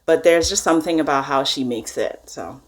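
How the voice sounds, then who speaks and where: Low-voiced Canadian-raised woman. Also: fairly quick. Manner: relaxed, frank, with ums. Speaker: chef guest. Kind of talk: casual interview chat.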